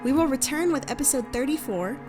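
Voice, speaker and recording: A woman's voice: high voice, expressive, a little fast, over music. A woman announcer giving a scripted narration of credits for an audio drama.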